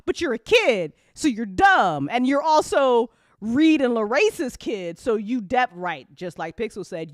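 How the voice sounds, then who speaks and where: A woman talks off the cuff in a casual after-show chat, her voice on the high side and close and dry. She rambles through stories in an animated, slangy way, swearing freely and slipping into little acted-out quotes. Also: dry recording. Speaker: audio drama creator chatting on a live after-show.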